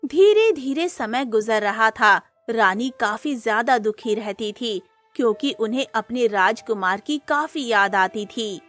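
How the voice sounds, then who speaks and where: A woman's voice: a little high, animated, a little slow, hardly any pauses, a bit bright, soft background music. A woman narrating a scripted moral story as a storyteller.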